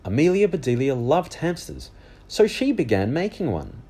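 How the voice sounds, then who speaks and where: A man reading a children's book aloud as animated storybook narration. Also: medium pitch, moderate pace, slightly rough.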